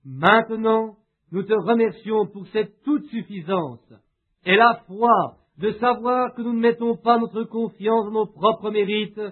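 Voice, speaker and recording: A man with a notably high voice delivers a sermon and a prayer. He reads in a measured, unhurried way and pauses often. The recording is thin and tinny, like a phone line. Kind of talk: sermon read aloud, with a spoken prayer.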